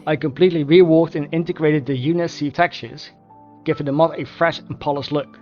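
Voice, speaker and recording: A man narrates a formal scripted progress report at a fast clip. His voice is slightly high, and the recording is dull, with faint music underneath. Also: steady tone. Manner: formal, measured wording. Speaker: mod developer.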